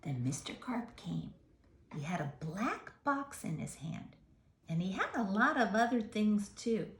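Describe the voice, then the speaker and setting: Animated woman reading a story to children. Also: medium pitch, unhurried, roomy sound. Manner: expressive, questioning, speaking directly to young listeners. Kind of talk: animated read-aloud with asides to young listeners.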